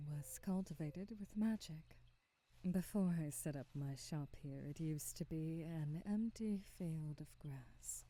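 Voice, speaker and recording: A kindly witch acted by a woman speaks low and very slowly in an intimate ASMR roleplay. Her voice is deeply mellow and soft, slipping into breathy half-whispers. She chooses formal, old-fashioned words with tender, wistful care. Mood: gentle. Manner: slow, gentle, formal old-fashioned phrasing.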